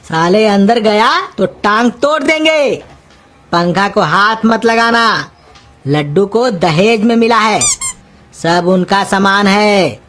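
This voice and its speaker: A woman's voice: mid-range voice, a bit bright. A woman voicing a cranky, scolding old cartoon uncle. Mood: irritated scolding. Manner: gruff, insulting, exasperated scolding. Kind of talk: cartoon comedy dialogue in rustic Hindi.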